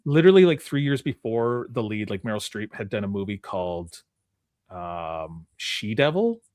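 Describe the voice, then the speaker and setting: A man's voice: lowish voice, sing-song, normal speed, a little mellow, no room sound, clean studio sound. A man hosting a movie trivia game in casual chat, often breaking off and restarting his sentences as he goes.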